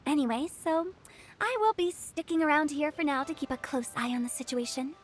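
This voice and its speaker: A woman's voice: high-pitched, a little fast, natural voice. A woman playing a quirky young female funeral director in a video game, with a playful, theatrical storytelling manner. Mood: wary, speculative. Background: music.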